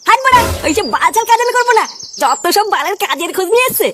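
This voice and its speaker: Woman voicing a squeaky, fast, brash cartoon lad. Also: animated, fairly bright tone, a little hiss or hum. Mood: dismissive. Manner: crude, brash, slangy. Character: foul-mouthed lazy young village slacker boy, brash and eager. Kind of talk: comic Bengali cartoon dubbing.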